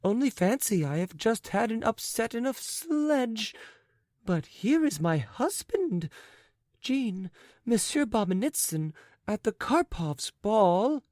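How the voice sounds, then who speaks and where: A woman's voice: a little high, very animated, takes it slowly, dark tone, no echo, studio quality. A woman voicing a flighty, chattering society lady with breathless, fluttery speech. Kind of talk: audiobook character voice.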